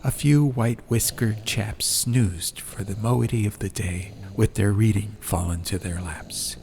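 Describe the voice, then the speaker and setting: A man's voice: on the low side, a little slow, creaky, mellow, a little hiss or hum. A man reading a scripted fantasy story as an audiobook narrator. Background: ambience.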